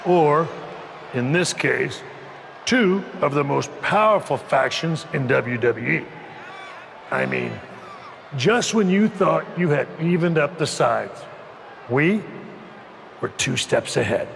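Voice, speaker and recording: A man playing a smug wrestling faction leader in a video game, taunting with a gravelly, theatrical delivery. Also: fairly high pitch, expressive, fairly bright tone, long reverb tail, a little hiss or hum, full character voice. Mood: smug, gloating. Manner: slow, gloating, theatrical delivery.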